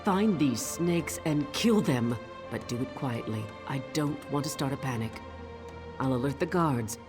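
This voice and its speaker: An actress playing a busy, no-nonsense dockmaster in a fantasy game, brisk and commanding. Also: female voice, very deep voice, lively intonation. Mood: brisk, stern. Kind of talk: video game voice acting. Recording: loud music under the voice.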